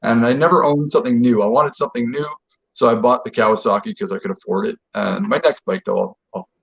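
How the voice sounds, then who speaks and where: A man from Quebec, a guest chatting casually on a live stream from his phone, talking in a flat, monotone way with halting restarts. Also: medium pitch, gravelly, husky. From Quebec, Canada. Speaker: guest biker. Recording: dull lo-fi phone audio.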